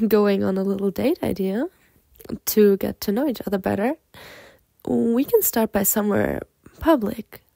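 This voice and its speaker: A woman's voice: medium pitch, vocal fry, no room sound. A woman playing a flirty, teasing, confident half-snake lamia in an ASMR roleplay, coaxing the listener. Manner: flirtatious, coaxing, breaking into soft giggles and whispered asides.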